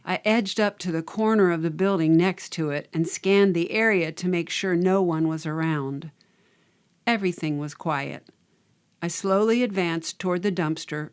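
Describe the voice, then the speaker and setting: A woman narrating first-person fiction in an expressive, animated storytelling manner, in the character of a streetwise youngster. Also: lowish voice.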